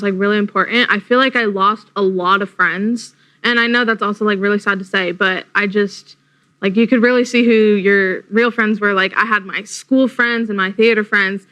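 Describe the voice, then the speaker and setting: A woman's voice: medium pitch, sharp tone. A teenage girl on a panel speaking earnestly and candidly. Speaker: panel guest. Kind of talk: casual chat.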